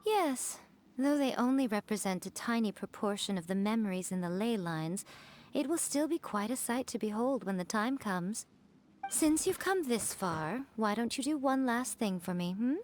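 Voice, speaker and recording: A woman's voice: a little high, lively intonation, normal speed, darkish tone, slight background noise, natural voice. A woman voicing a sly, elegant fox shrine priestess in a video game, playful, teasing and self-assured. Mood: teasing, pleased. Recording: music behind the voice.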